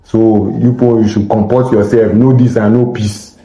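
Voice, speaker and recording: A man's voice: on the low side, normal speed, reverberant, low-quality recording. A man with a Nigerian accent talking casually to his audience, slipping into Pidgin and bantering. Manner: animated, Pidgin-laced banter. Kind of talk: casual monologue to camera.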